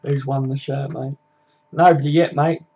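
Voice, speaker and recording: A man's voice: medium pitch, steady tone, moderate pace, husky, muffled recording. A man, hosting a casual live stream, chatting matily with viewers and laughing easily. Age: 20s.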